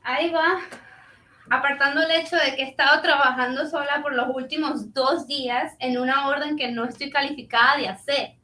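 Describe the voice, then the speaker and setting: A woman's voice: sits high, steady tone, fast talker, sharp tone. A woman playing a frank, overworked young restaurant worker in a staged play reading, heard from a distance in a roomy space. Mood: exasperated, teasing. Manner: bilingual, switches between Spanish and English.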